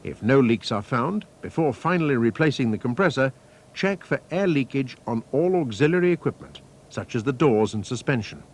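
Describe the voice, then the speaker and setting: Man narrating a technical training film, lively intonation. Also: medium pitch. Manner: measured, methodical, formal. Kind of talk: scripted technical instructional narration. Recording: faint noise, archival training film soundtrack.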